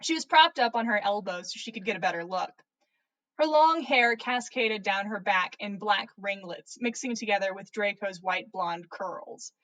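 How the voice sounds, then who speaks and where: A woman's voice: medium pitch, moderate pace, fairly bright tone, low-quality recording. A woman reading fan fiction aloud on a livestream in a playful, theatrical way. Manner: theatrical, playful, stumbles over words and swears. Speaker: podcast host reading listener fan fiction. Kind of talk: animated story reading on a livestream.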